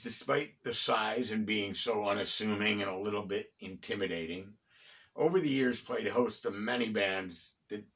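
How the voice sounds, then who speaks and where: A middle-aged American man hosting a conversational, storytelling history talk, phrasing deliberately with pauses and dropping in wry asides. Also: lowish voice, a bit bright. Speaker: host and storyteller of a local history series. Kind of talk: conversational bedtime-story style history narration.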